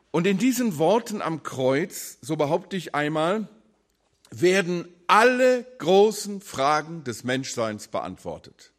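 A man preaching a sermon, earnest and emphatic, slowing and dropping his voice low on key words.

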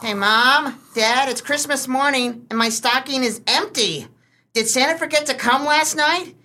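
Here is a woman playing a whiny little boy in a comic radio play. The voice is high and sharp, and drawn out slowly. The child sounds eager and plaintive. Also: a little hiss or hum. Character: whiny, eager young boy, the couple's son.

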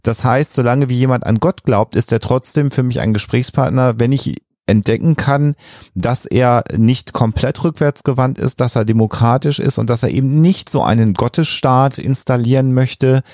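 A man's voice: medium pitch, moderate pace, darkish tone, no echo, studio quality. A man co-hosting a podcast discussion, speaking conversationally and earnestly as he appeals to listeners. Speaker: podcast co-host.

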